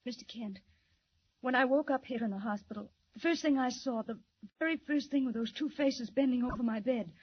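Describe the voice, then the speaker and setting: A high, slightly husky voice playing a nervous, plucky young secretary in a 1940s radio play. She speaks with breathless, theatrical emphasis. The old recording is dull and muffled. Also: brisk. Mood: anxious, urgent. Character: frightened, defiant young secretary in hospital, telling her story. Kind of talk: 1940s radio drama acting.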